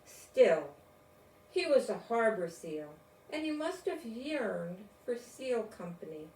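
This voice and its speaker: An older woman reading a children's storybook aloud in a deliberate, expressive way, with informal asides. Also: on the high side. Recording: roomy, distant mic, faint noise.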